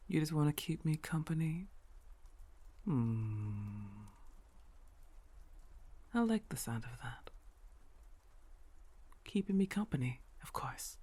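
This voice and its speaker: Slow, husky, teasing girlfriend played by a woman. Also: sits low, lively intonation, heavily acted. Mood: teasing, probing. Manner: slow, coaxing, teasing questions with soft chuckles. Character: tall dominant girlfriend, teasing, indulgent, coolly amused. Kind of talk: intimate ASMR roleplay, partly whispered.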